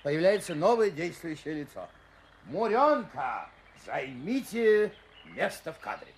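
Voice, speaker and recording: Lively, creaky-voiced courteous story host. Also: male voice, fairly high pitch, unhurried, slight background noise. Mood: curious, brisk. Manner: formal, courteous, prompting. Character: polite, curious male interviewer directing the tale. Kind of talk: children's audio story acting.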